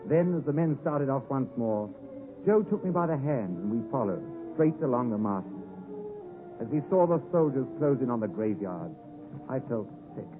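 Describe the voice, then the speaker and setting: An actor playing a grown gentleman recalling his boyhood in measured, literary 1950s radio drama narration. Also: medium pitch, animated, natural voice. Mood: reflective, earnest. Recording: tinny 1950s radio broadcast, music behind.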